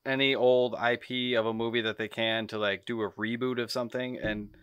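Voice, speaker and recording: Guy chatting on a podcast, crisp clear bright voice, blunt takes, casual. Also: mid-range voice, level tone, brisk, no room sound. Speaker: podcast co-host. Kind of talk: casual chat.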